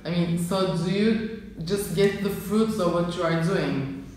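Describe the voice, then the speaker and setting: A woman's voice: lowish voice, unhurried, darkish tone. A woman interviewing a guest in an office, speaking hesitantly with many ums and "I mean"s. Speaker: interviewer, association coordinator. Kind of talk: conversational interview. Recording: very echoey office with faint noise.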